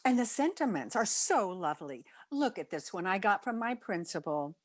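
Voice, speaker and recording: A woman's voice: mid-range voice, very animated, moderate pace, dry recording. A woman playing a wry, good-humoured schoolteacher with dry quips, in old-time radio comedy style. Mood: wry, cheerful. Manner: wry, dry comic delivery.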